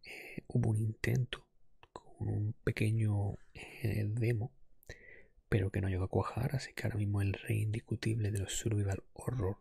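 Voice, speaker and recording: A man hosting an ASMR podcast monologue in a hushed, breathy whisper, explaining things hesitantly. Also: on the low side, level tone, moderate pace, slight background noise. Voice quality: breathy, mostly unvoiced whisper. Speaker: podcast host.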